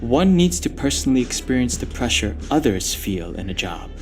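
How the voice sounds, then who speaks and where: Man as a calm, reserved young guard. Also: mid-range voice, lightly acted. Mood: calm, aloof. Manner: measured, matter-of-fact, aloof. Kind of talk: scripted video game dialogue. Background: loud game music.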